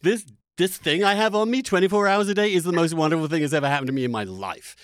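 Halting man telling personal stories, dry sound. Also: fairly high pitch, no echo. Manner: halting, animated, casually sweary. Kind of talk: personal storytelling in conversation.

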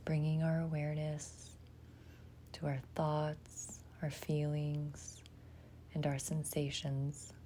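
A woman guides a meditation in a low, mellow voice. She speaks very slowly and gently, with a breathy softness and long pauses between short phrases. A faint low rumble sits behind her. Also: monotone. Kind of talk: guided imagery meditation, slow and soothing.

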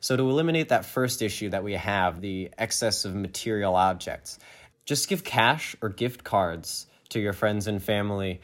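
A man's voice: on the low side, animated, normal speed, a bit bright. A man co-hosting a podcast, explaining a topic in a semi-scripted, conversational way. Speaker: podcast co-host.

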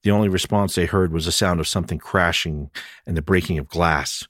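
Male author-narrator for supernatural mystery fiction, low register, dry close recording. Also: fairly quick, a bit bright, dry recording. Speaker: author reading own audiobook.

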